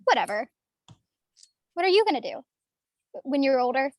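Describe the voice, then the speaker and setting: A woman's voice: high voice, sing-song, normal speed, no echo, clean studio sound. A woman reading the part of a confident, ambitious, slightly boastful young girl at a script table read, brash and challenging. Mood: cocky.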